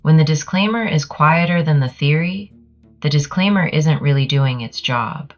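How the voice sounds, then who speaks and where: A woman reading scripted commentary as a narrator, in a deadpan, dryly sardonic manner. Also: sits low, normal speed, highs cut off. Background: music.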